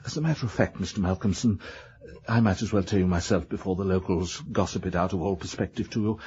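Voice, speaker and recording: A man's voice: low voice, fast talker, darkish tone, natural voice. A man playing a fussy, evasive small-town British letting agent in a radio drama, hesitant, rambling and eager to please. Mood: evasive, uneasy. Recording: dull lo-fi radio drama recording.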